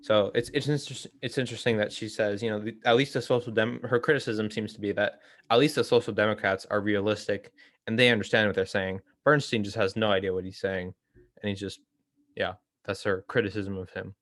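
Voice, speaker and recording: A man's voice: fairly low pitch, fairly even intonation, a little fast, fairly bright tone, no room sound. A man hosting an informal book discussion, explaining ideas in a hesitant way and often correcting himself. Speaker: host of a political theory read-along.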